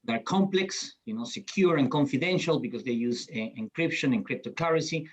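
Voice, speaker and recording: A man's voice: fairly high pitch, lively intonation, brisk. Hesitant Peruvian-born man on a panel. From Peru. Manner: hesitant, many fillers and restarts. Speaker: panelist, certification program CEO. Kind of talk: conference panel discussion.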